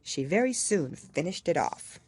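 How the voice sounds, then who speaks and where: Low-voiced, expressive woman storyteller. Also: measured pace, slightly rough, no room sound. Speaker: audiobook reader. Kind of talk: scripted storybook narration.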